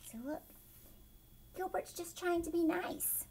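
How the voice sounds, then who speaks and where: A woman reading a children's story aloud like a teacher, expressively, pausing to explain and ask young listeners questions. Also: very high-pitched, darkish tone. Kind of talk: animated children's read-aloud with explanatory asides.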